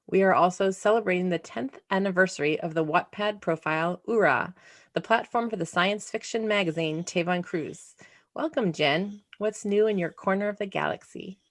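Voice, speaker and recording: A woman co-hosting a podcast who welcomes her guest and asks calm, measured questions. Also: lowish voice. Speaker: podcast co-host. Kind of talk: podcast interview.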